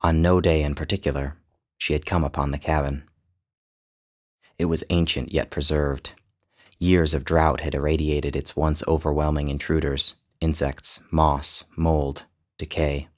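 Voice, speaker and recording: A man narrating his own literary short story in a measured, descriptive storytelling manner. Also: very deep voice, little pitch movement. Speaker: author and narrator.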